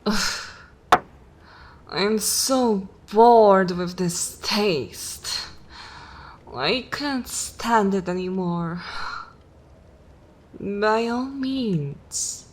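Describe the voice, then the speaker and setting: A woman playing a seductive, cruel and jaded vampire mistress in a dark fantasy ASMR roleplay, speaking breathily in slow, commanding, theatrical phrases. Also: mid-range voice, expressive. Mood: bored, commanding. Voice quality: breathy whisper.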